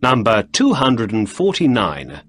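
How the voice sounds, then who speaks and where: A courteous, probing detective with a raised, lively voice and a soft husky edge, as a male narrator puts him on. He questions people politely and steadily, over faint music. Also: medium pitch, measured pace, exaggerated voice.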